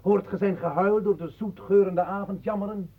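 An actor playing a solemn, theatrical poetry reciter in a radio play, declaiming with portentous weight. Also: male voice, sits high, steady tone, normal speed. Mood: declamatory, eerie. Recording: muffled, phone-like old radio recording.

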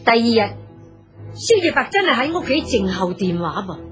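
A woman with a Cantonese accent narrating a story in a colloquial, dramatic, expressive and exclamatory style. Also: a little high, normal speed, soft background music.

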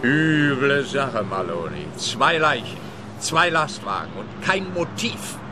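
A pompous, slow-witted policeman in a radio play. He holds forth officiously and complacently in a mid-pitched voice, with clear outdoor traffic noise behind. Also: sing-song. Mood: grave, pompous.

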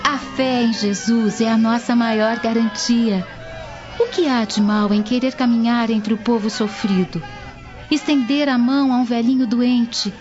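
A woman's voice: fairly high pitch, fairly even intonation, a little slow, over music, lightly acted. An actress with a Brazilian accent playing a devout, fearless teenage Roman noble girl, formal and earnest, in a radio drama. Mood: earnest, reassuring. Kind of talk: dubbed radio drama acting. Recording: dull lo-fi audio.

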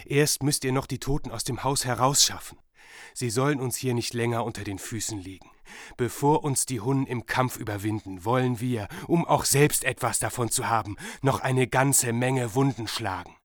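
Ein Schauspieler spielt in einem deutschen Hörspiel einen stolzen, kampferprobten jungen Kriegerfürsten. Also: male voice, mid-range voice, normal speed, no room sound, clean studio sound, natural voice. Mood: commanding. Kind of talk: German radio play of a medieval epic.

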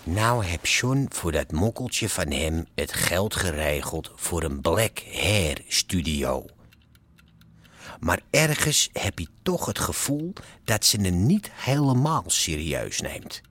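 An actor narrating an audio drama as a cynical, streetwise storyteller, colloquial and deliberate. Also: male voice, low voice, big pitch swings, fairly bright tone, full character voice. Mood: wry, cynical.

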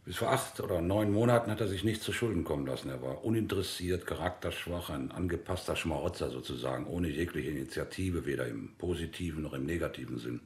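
Deep, ruthless interrogating captain. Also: male voice, fast talker, hardly any pauses. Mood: contemptuous, cold. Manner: curt, commanding, dismissive. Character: hard, impatient, ruthless male interrogating captain. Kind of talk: radio drama dialogue.

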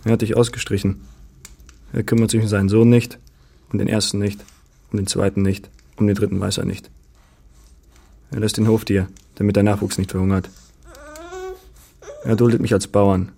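Smug farmer in a radio play, dark mellow low voice, taunting. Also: male voice, flat delivery, moderate pace, gravelly, pauses often, husky. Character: taunting, self-assured farmhand turned master of the house. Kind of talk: literary radio drama acting.

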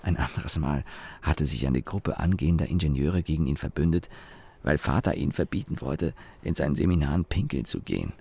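A man acting as a young, sardonic engineer telling his story in a radio play, wry and deliberate, with pauses and self-corrections. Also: a bass voice, lively intonation, gravelly, warm tone, breathy edge, heavily acted. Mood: dry, sardonic. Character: young engineer, sardonic company employee recalling his father. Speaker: narrator and lead actor. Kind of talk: German radio play monologue, reflective storytelling.

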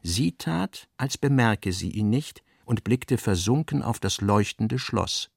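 A male narrator reads scripted literary prose for a radio drama. His voice is on the low side with a gravelly creak, recorded very dry. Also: lively intonation, takes it slowly, no room sound.

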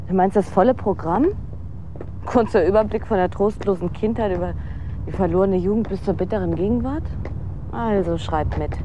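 A woman acting in a German radio drama as a sardonic, world-weary young aspiring actress with a deadpan, cynical delivery. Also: lowish voice, close to an everyday voice. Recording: clear outdoor street and traffic noise. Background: city street ambience with vehicles.

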